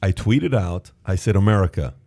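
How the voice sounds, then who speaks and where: A man's voice: low-pitched, lively intonation, moderate pace, creaky, dark tone. A man from Iran hosting a podcast, chatting casually and animatedly, exclaiming and acting out quoted voices. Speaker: podcast host.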